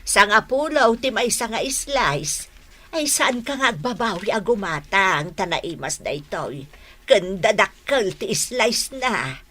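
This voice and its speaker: An actress playing a friendly neighbourhood food vendor in a radio comedy drama, coaxing and exclamatory. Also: female voice, mid-range voice, expressive, slow pace, bright, forceful tone, exaggerated voice. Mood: coaxing sales pitch.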